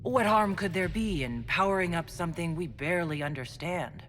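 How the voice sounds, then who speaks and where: A woman's voice: fairly low pitch, animated, moderate pace, a bit bright, slight background noise. A woman playing a wry, understated dwarf heroine in a fantasy game. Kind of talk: dramatic game cutscene acting. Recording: long hall reverb, faint music behind.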